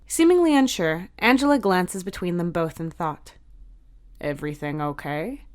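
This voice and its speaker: A woman's voice: medium pitch, very animated, normal speed, darkish tone. A woman reading scripted fiction aloud as the narrator of a short story.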